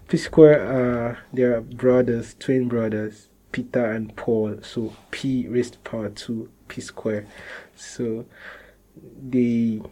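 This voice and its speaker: A young man with a Nigerian accent, answering interview questions in a relaxed way, often restarting phrases and laughing softly. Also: medium pitch, low-quality recording. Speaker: interviewee, musician.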